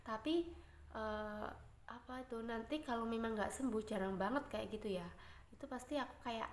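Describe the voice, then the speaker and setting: A woman's voice: on the high side, normal speed. Woman with a stuffy nose, rambling. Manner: rambling, chatty, many fillers. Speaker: vlogger. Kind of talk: casual chat to viewers. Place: at home. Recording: echoey room, faint ambient noise.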